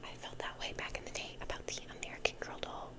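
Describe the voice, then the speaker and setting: A young woman whispering in a soft, breathy voice, rambling and repeating phrases as in an ASMR unboxing. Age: young adult. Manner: soft, repeats phrases slowly, chatty over-explaining. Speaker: ASMR content creator.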